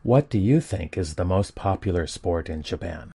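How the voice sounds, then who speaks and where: Low-voiced man reading sentences deliberately. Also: breathy edge. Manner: even, deliberate read, each sentence said twice. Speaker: English lesson reader. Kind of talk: reading example sentences aloud.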